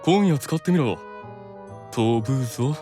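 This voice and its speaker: A man voicing a stern but caring elder-brother demon in an anime audio drama, measured, authoritative and sometimes exasperated. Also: mid-range voice, animated, over music, natural voice. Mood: composed.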